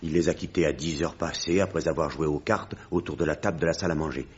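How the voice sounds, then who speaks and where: Pasteur grave, voix basse et voilée. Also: male voice, fast talker, a little mellow, slightly rough, dull audio. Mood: grave, dramatic. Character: grave village pastor recounting a horrific family tragedy. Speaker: actor in a radio mystery play. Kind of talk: French radio drama acting.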